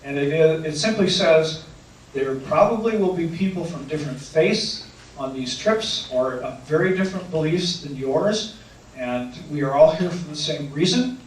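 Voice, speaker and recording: A man's voice: fairly high pitch, animated, normal speed, far from the mic. A man who leads canoe and kayak trips, answering audience questions in an earnest, hesitant way and restating each question before he answers. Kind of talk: talk with audience Q&A. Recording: echoey room, speaker away from mic, faint noise.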